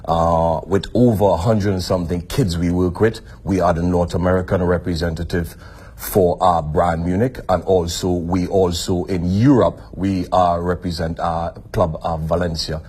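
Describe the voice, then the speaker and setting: A man in his fifties with a Trinidadian accent, a soccer organization representative speaking haltingly in a live TV interview. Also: sits low, fairly even intonation, darkish tone, breathy edge. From Trinidad and Tobago. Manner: halting, frequent restarts and fillers.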